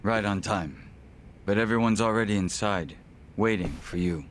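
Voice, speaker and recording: Deep-voiced, courteous gang henchman, acted. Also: slight background noise, close to an everyday voice. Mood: smooth, restrained. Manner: polite, measured, smug. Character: composed, courteous, faintly menacing male gang henchman. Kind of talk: English video game voice acting.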